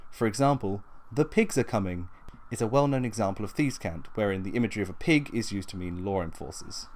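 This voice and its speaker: A man's voice: lowish voice, expressive, fast, darkish tone, a little hiss or hum. A man hosting a tabletop gaming explainer, narrating in a matter-of-fact, instructional way from loosely scripted notes. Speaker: tabletop gaming video host.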